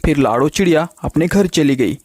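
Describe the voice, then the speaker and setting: High-pitched male children's storyteller. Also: lively intonation, normal speed, darkish tone, a little hiss or hum. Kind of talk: scripted children's story narration.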